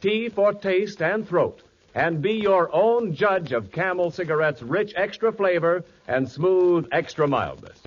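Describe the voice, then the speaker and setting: Lively old-time radio announcer man. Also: high-pitched, unhurried, highs cut off. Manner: smooth, persuasive, lively. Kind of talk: 1940s radio announcing and ad read.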